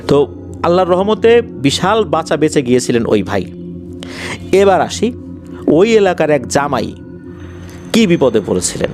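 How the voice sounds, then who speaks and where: A Bangladeshi man tells horror stories in a fairly high, lively voice that moves up and down a lot. He speaks conversationally, circling back to explain details and build suspense. Faint music plays behind him. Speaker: horror story narrator. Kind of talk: conversational spoken storytelling of paranormal incidents. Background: faint background music.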